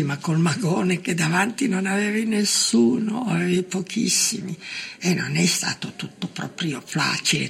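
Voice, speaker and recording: A woman's voice: lowish voice, vocal fry, a little hiss or hum. An older woman recalling political life in a colloquial, emphatic way. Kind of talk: spoken recollection. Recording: interview under loud guitar music. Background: guitar music.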